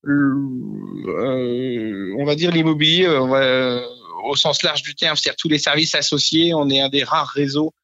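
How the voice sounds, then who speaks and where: A man in his forties from Brittany, a business owner answering interview questions, halting and searching for his words. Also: mid-range voice, fairly even intonation, sharp tone, no room sound, highs cut off. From France, Brittany. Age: 40s. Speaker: real estate franchise owner, guest.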